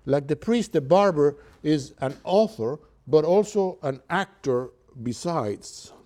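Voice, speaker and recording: Man, Cuban accent, lecturer, mellow voice, hesitant, lots of uhs. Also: on the high side, lively intonation. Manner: halting, with many filler sounds. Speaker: literature lecturer. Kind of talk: university lecture.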